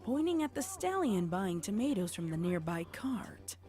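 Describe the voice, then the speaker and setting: A woman's voice: medium pitch, very animated, moderate pace. A woman reading scripted story narration as the narrator of an audio tale.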